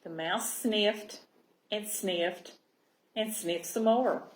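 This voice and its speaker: Female children's storyteller, unhurried and expressive, mid-range voice in a reverberant room. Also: medium pitch. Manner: expressive, pausing to check understanding with listeners. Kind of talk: storybook read-aloud for young children. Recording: roomy, echoing sound.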